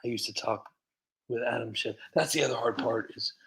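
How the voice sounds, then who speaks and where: A man's voice: medium pitch, fairly quick, a bit bright. A man hosting a comedy livestream, chatting casually and rambling with lots of fillers and restarts. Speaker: comedy channel host.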